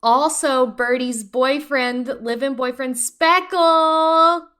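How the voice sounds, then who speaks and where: A woman's voice: high-pitched, takes it slowly, pushed and bright, smooth. A woman in her mid twenties co-hosting a podcast, talking in an enthusiastic, rambling casual way. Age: 20s. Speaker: podcast co-host. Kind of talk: casual chat.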